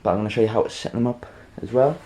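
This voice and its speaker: A man presenting an informal how-to demonstration, halting and rambling, often checking in with the listener. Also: on the low side, mellow, slightly rough. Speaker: video tutorial presenter.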